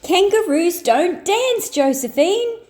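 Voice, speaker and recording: A woman's voice: extremely high pitch, lively intonation, takes it slowly, darkish tone, voice pushed up, full character voice. A woman voicing a scornful young boy kangaroo, a bossy little brother, scolding emphatically in a children's storybook. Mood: scornful, insistent. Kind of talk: children's storybook read-aloud.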